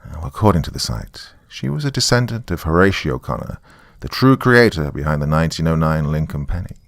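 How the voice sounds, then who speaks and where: A very low, creaky male voice, recorded dry and close. A man acts out a jaded, middle-aged small-town narrator who confides his horror story in a conversational, first-person way. His delivery is sardonic and matter-of-fact, full of dry asides. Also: sing-song, a little fast, no echo, heavily acted. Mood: wry, confiding.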